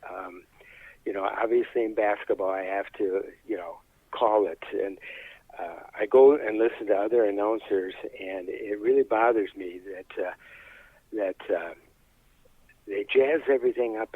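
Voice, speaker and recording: Older midwest guy chatting in an interview, lowish voice, folksy and halting, bit lo-fi. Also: dry recording. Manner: plain-spoken, folksy, hesitant. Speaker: high school sports announcer being interviewed. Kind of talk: casual interview conversation.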